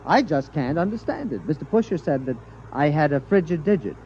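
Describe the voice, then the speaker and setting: An actor playing an earnest, naive, stubborn scientist with formal, precise academic phrasing in a 1950s radio drama. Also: male voice, fairly high pitch, expressive, brisk, a little mellow, natural voice. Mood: bewildered. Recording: dull, noisy vintage radio recording.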